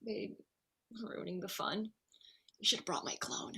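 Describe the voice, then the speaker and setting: A woman's voice: medium pitch, unhurried, vocal fry, bright, forceful tone, breathy edge, full character voice. A woman playing a tipsy, playful, needy bride who rambles, in a naturalistic comedy table read. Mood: playful, teasing. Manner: rambling, with frequent "like".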